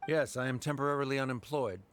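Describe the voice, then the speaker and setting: An actor playing a stiff, proud, reserved scholar father in a video game, speaking in measured, formal diction. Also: medium pitch, slight background noise, close to an everyday voice. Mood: reserved formality.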